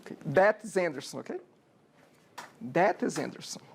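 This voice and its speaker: A man's voice: fairly high pitch, lively intonation, moderate pace, vocal fry, a little hiss or hum. A man in his forties from Brazil, with a Brazilian accent, giving a casual classroom lecture as a programming instructor and often checking in with "okay?" and rhetorical questions. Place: university classroom.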